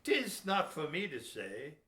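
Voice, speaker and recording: Gruff, scheming sea captain played by a man, higher-pitched and very animated, goading, period radio-play style, roomy sound. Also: unhurried, heavily acted. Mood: feigned sympathy. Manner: archaic seafaring speech, emphatic and insinuating. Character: gruff, crafty old-time sea captain, sly and goading. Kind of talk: amateur audio theater historical drama acting. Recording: echoey room.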